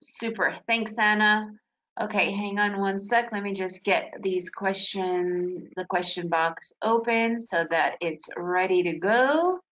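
Bright, upbeat woman presenting a trading webinar. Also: medium pitch, slow pace, rough recording. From United States. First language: Russian. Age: 40s. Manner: upbeat, chatty, with frequent fillers. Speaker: webinar presenter, day trader.

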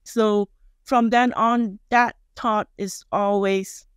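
Malaysian Chinese-accented woman, halting, dry studio sound. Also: mid-range voice, fairly even intonation, clean tone, dry recording. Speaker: guest chef and culinary instructor. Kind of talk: casual podcast interview. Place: small podcast studio. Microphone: close studio microphone on boom arm.

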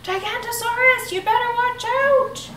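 A woman voicing a boastful young male dinosaur who pranks his friends, with shrill excited shouting. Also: unhurried, noisy background. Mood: alarmed shouting. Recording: echoey room, mic at a distance.

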